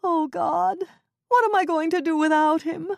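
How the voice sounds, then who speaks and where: A woman's voice: high voice, expressive, measured pace, gravelly, a little mellow, no room sound, clean studio sound, voice pushed up, exaggerated voice. A woman voicing a fretful, naive woman in her seventies who talks in anxious, flustered bursts in a storybook reading. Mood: distraught. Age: 70s.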